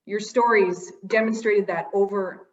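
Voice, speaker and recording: A woman's voice: medium pitch, fairly even intonation, measured pace, reverberant, distant mic, lo-fi sound. A woman hosting an online panel, thanking the speakers and summing up what they shared. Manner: appreciative, wraps up speakers. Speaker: host.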